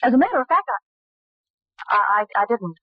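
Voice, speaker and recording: An anxious young woman in an old radio mystery. Her voice is fairly high and swings widely in pitch, emotional and defensive. The sound is dry and dull, as on an old broadcast. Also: sing-song, brisk, no room sound. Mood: shaken, evasive. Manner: emotional, stammering when pressed.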